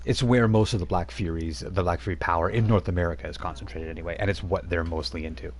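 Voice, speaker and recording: Deep-voiced man running a tabletop game. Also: steady tone, fast. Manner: rambling, hesitant, many fillers. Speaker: game master. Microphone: home microphone on a live stream.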